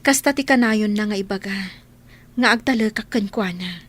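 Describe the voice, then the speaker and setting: A woman's voice: medium pitch, moderate pace, lightly acted. An actress playing an earnest, hesitant young woman worried about love in a radio drama.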